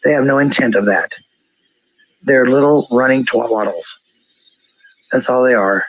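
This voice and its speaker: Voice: mid-range voice, measured pace, no echo, rough recording. A person ranting casually in a blunt, coarse, profane way, heard on a played-back voice clip.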